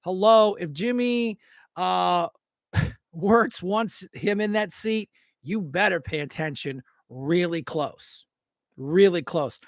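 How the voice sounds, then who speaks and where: Male American political talker, high-set voice, combative and plainspoken, informal delivery, very dry recording. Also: slow pace, no echo. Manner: blunt, combative, folksy, repeats phrases for emphasis. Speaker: talk show host and local political candidate. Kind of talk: opinionated political rant, informal and off-the-cuff.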